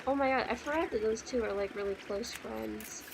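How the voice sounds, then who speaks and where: A woman's voice: fairly high pitch, moderate pace, creaky, background noise. A young woman streaming a game, giving casual, slangy, reactive live commentary. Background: game music.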